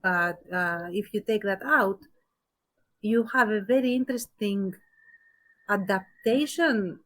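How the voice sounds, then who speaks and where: A woman's voice: mid-range voice, takes it slowly, a bit bright. Woman thinking aloud in casual discussion. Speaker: podcast co-host. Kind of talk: casual discussion, giving opinions.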